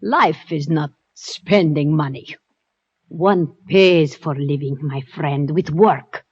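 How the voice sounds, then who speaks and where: Radio drama role: jaded, proud underworld woman, unhurried, scornful speech, lo-fi vintage sound. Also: low-pitched, darkish tone, breathy edge, exaggerated voice. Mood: bitter, weary. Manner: declamatory, scornful. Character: sullen, defiant, world-weary woman of the underworld. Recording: dull, lo-fi old radio recording.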